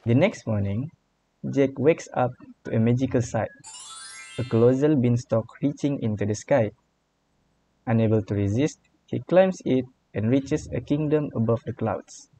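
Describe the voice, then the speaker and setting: A man's voice: mid-range voice, moderate pace, dark tone, lo-fi sound. A man narrating a scripted fairy tale for a radio drama.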